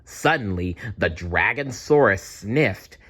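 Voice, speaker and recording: A man reading a children's story aloud in an expressive, deliberate storytelling manner. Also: fairly low pitch, slightly rough. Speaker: storybook reader. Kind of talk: children's read-aloud narration.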